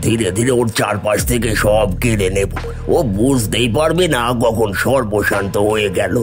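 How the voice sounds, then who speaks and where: A low-pitched, resentful village man acted by a man from a cartoon fairy tale. He grumbles and plots like a jealous younger brother, with faint music underneath. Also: moderate pace, lightly acted. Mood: scheming, greedy. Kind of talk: Bengali moral-tale cartoon dialogue.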